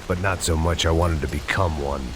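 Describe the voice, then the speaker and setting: A man playing a bitter, burnt-out middle-aged bodyguard and ex-cop in a video game, narrating in a deadpan, sardonic noir style. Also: a bass voice, normal speed, very noisy. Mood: grim, sardonic. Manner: world-weary, deadpan, wry. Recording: loud music over the voice.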